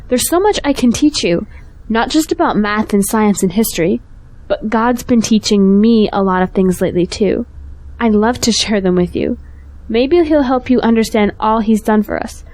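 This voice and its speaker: Devout female schoolteacher, played by a woman, fairly high voice, gentle and sincere, audio drama. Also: natural voice, poor audio. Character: devout, kind young schoolteacher eager to help.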